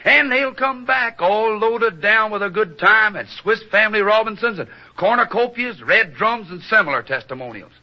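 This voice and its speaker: A wry, earnest frontier townsman in a radio western. He speaks in a higher-set male voice with a Western American drawl and homespun, drawn-out phrasing. The recording is old, dull and lo-fi. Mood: folksy, persuading. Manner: rustic, drawn-out folksy phrasing. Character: folksy Old West townsman, plain-spoken, wry, earnest.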